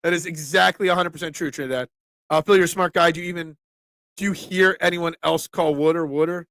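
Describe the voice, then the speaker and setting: Chatty Philly-accented sports host, gravelly. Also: male voice, fairly high pitch, a little fast, no echo. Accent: Philadelphia. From Philadelphia area. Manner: animated, chatty, swears casually, answers viewers by name. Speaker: sports talk show host. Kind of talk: casual live-stream sports talk, reading chat.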